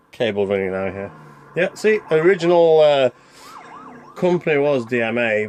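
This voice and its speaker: A man's voice: medium pitch, gravelly, darkish tone. A man hosting a game review with casual, rambling, self-deprecating commentary as he plays. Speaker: video game reviewer and channel host.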